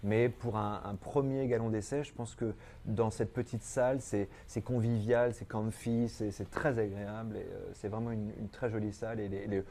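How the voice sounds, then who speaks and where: A middle-aged man from France talks through an interview in a low, mellow voice. He hesitates, doubles back on his words and chuckles now and then. He is a stage performer speaking as a guest. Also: steady tone, normal speed. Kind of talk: casual interview. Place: TV studio.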